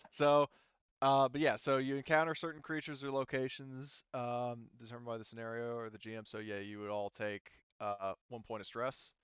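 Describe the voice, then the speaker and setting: A man acting as game master, casually refereeing a tabletop game and thinking aloud in a halting way. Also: medium pitch, little pitch movement, fairly bright tone, clean tone, no room sound, highs cut off.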